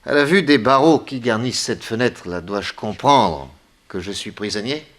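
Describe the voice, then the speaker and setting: An actor in a 1960s French radio drama playing a wry, battered detective who questions everything in a theatrical manner. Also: male voice, lowish voice, normal speed, fairly bright tone, lightly acted. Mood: suspicious. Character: wry, battered male detective held captive.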